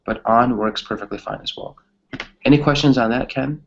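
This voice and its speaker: A man's voice: medium pitch, moderate pace, gravelly, dull audio. A man teaching an English grammar lesson conversationally, encouraging his students and often checking in with "right?".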